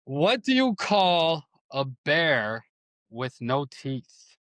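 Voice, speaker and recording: Man, podcast host, relaxed, affirming, slow delivery, dry recording. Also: on the high side, no room sound. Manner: relaxed, affirming, ends with corny jokes. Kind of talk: casual podcast interview.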